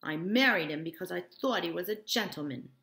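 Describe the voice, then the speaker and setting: A woman's voice: on the low side, animated, brisk, fairly bright tone. A woman voicing a brash, scornful married woman in a novel read-aloud, with an emphatic, indignant way of talking. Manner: emphatic, incredulous, declaring.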